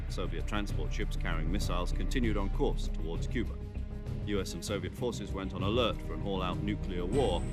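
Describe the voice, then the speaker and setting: A man acting a deep-voiced, grave intelligence commander. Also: close to an everyday voice. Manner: deliberate, formal, expository. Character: seasoned male intelligence major briefing his agent, authoritative and grave. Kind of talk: dramatic video game briefing exposition.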